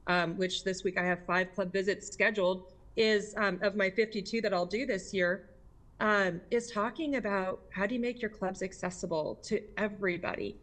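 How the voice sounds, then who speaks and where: A woman's voice: mid-range voice, fairly even intonation, moderate pace, a bit bright. An American woman answering as a district governor in an interview, speaking candidly and emphatically with frequent rhetorical questions.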